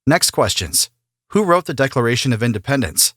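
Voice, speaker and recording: A man playing an immigration officer who asks formal, steady questions in a scripted practice interview. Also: medium pitch, fairly quick, husky, no room sound, studio quality. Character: male immigration officer, businesslike and neutral. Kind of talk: scripted role-play interview.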